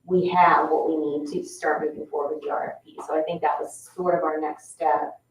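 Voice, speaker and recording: A woman's voice: deep voice, flat delivery, fairly quick. An American woman talking informally as a commission member in a public meeting. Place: indoor public meeting room. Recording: echoey, distant, muffled, faint room noise.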